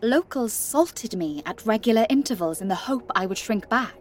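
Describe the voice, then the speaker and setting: A woman playing a wry, wistful giant from the country in a first-person audio drama monologue, telling her story expressively with hushed, confiding asides. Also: fairly high pitch, animated, moderate pace, a little hiss or hum, close to an everyday voice. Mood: wry, intense.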